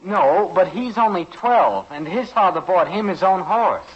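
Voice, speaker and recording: A man's voice: high-pitched, animated, a little slow. An actor playing an eager, argumentative fifteen-year-old colonial boy, pleading earnestly in 1950s radio comedy style. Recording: muffled, hissy old radio recording.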